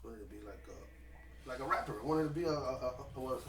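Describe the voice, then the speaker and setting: Fast-talking rapper, loose and emphatic. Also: male voice, medium pitch, slight background noise. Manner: fast, loose and emphatic, peppered with slang and swearing. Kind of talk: casual interview chat. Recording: echoey room with music behind, mic at a distance.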